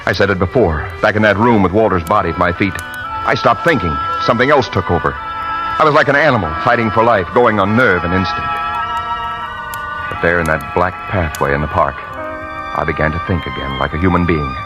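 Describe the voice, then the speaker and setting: An actor playing a haunted, desperate man on the run in an old radio noir, with tense, halting delivery. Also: low-pitched, lightly acted, low-quality recording. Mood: brooding, tense. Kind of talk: 1940s-50s radio noir acting, confessional narration and dialogue. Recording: vintage radio drama with loud music.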